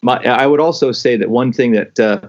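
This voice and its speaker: A man's voice: mid-range voice, moderate pace, darkish tone. A man hosting a podcast, talking eagerly with many restarts and stumbles in a casual interview. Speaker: podcast host. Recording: dull lo-fi sound.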